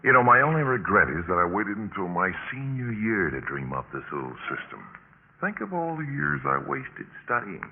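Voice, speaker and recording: A man's voice: fairly low pitch, big pitch swings, moderate pace, creaky, a little hiss or hum. An actor playing a romantic young male college senior in a 1950s radio drama, courting with hesitant, repeated words. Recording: tinny 1950s radio recording, music behind.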